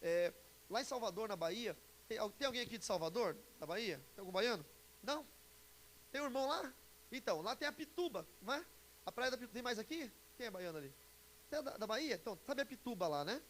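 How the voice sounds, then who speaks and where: High-pitched Brazilian male preacher. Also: animated, fast, fairly bright tone, low-quality recording. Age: 40s. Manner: chatty, joking, asks the audience questions. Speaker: pastor and conference speaker. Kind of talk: informal preaching and teaching to a congregation. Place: church conference before an audience. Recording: live talk with background hiss.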